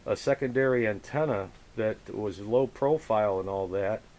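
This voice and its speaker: A man operating a radio and hosting a contact, chatting casually and chummily in a halting, hesitant way. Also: fairly low pitch, darkish tone. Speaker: amateur radio operator running the frequency. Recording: hissy, noisy radio recording. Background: radio static hiss.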